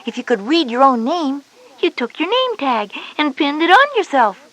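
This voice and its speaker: A schoolgirl big sister, with a high voice and lively swooping intonation. She explains things patiently but a little bossily, stressing her points in a scripted children's educational story. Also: moderate pace, poor audio. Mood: helpful, chatty. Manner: explanatory, emphatic. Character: knowing, patient but slightly bossy older sister Jenny, schoolgirl.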